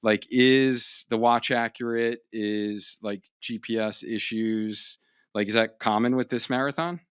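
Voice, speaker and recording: A man from Long Island, New York hosting an interview, asking relaxed questions with plenty of fillers. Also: medium pitch, a little slow, smooth, dry recording, clean studio sound. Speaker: podcast host, physical therapist.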